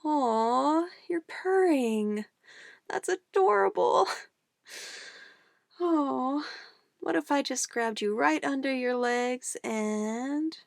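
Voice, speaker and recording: A woman playing a sweetly menacing, possessive witch in an ASMR roleplay, cooing and coaxing condescendingly in a soft voice. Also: high-pitched, vocal fry, dry recording, clean studio sound, full character voice. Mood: gushing, adoring. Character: possessive young-sounding witch, sweetly menacing, doting on her familiar.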